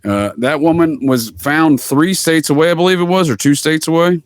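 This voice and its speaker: A man's voice: a little high, normal speed, smooth, no echo. A man speaking as a podcast guest in casual conspiracy talk, telling rambling stories with slangy, emphatic repetition.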